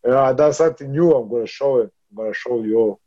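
A man's voice: mid-range voice, fast, warm tone, lo-fi sound. Swearing man in his thirties, laughing often. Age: 30s. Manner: rough, swearing, laughs often, calls others brother. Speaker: boxer. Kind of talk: casual interview.

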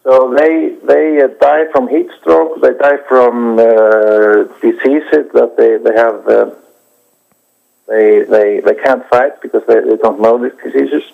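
A man from Iceland, a documentary director answering interview questions in a halting way, with many hesitations and restarts. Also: mid-range voice, steady tone, gravelly. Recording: dull lo-fi recording.

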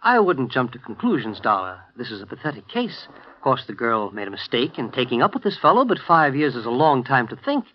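A man's voice: medium pitch, sing-song, a little fast, close to an everyday voice. A man playing a coaxing insurance boss. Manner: hesitant, coaxing. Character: earnest middle-aged male insurance executive. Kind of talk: 1950 radio drama acting. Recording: dull 1950 radio transcription.

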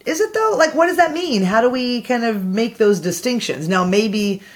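A woman with a lower speaking voice talks as a podcast guest. She works through ideas out loud in a reflective, conversational way. She keeps checking in with the host by asking "right?". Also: animated, moderate pace. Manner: low-voiced, thinks aloud, often asks "right?".

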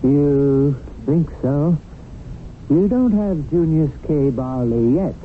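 A man's voice: on the high side, expressive, slow pace, phone-quality sound, slight background noise. A man playing an earnest, worried guardian spirit in a 1970s radio drama, rueful and confiding. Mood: defensive. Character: earnest, worried male guardian spirit telling his story. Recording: thin 1970s radio recording with music. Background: music.

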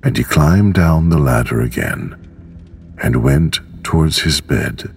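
A man reading a bedtime storybook in a gentle, measured, unhurried way. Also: a bass voice, animated, vocal fry, slight background noise. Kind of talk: slow scripted storybook narration for sleep.

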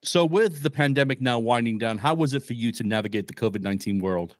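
A man hosts his podcast and reads the intro with an upbeat, announcer-like delivery before asking his guest questions. His voice sits at a middle pitch, and the sound is dry and close. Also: fast talker, no room sound. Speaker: podcast host. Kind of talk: scripted intro and interview questions.

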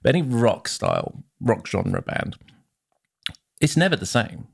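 A man co-hosting a casual podcast, a working band musician who explains things in a relaxed way with dry teasing. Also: fairly low pitch, unhurried, vocal fry, breathy edge, no room sound.

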